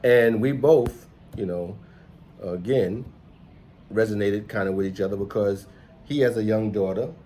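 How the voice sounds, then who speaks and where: A man's voice: on the low side, unhurried, mellow, slight background noise. A middle-aged man with an American accent chatting casually as a vlog host, rambling and reflective. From United States, New York City. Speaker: host, entrepreneur and musician. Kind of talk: casual conversational vlog chat.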